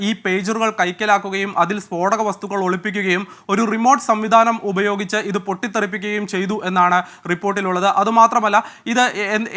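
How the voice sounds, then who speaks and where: Male desk reporter, high bright voice, live briefing with many hesitations. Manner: steady informative delivery with frequent hesitations. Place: news desk.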